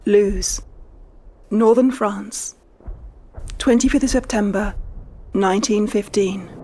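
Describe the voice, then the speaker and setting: A woman's voice: mid-range voice, slow, warm tone, faint music behind. A woman reading a scripted introduction as an announcer. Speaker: podcast announcer. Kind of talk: scripted narration.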